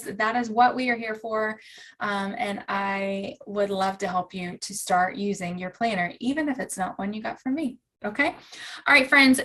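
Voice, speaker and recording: A woman's voice: on the high side, a bit bright. A woman with a Southern US accent chats casually and encouragingly to her online community as a planner coach, in an informal, folksy way. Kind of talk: casual chat to online viewers.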